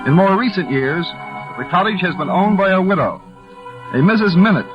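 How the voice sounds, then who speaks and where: A man's voice: sits high, animated, fairly quick, over music. A man presenting a 1940s radio play with formal, solemn scripted narration. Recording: dull vintage 1940s radio recording.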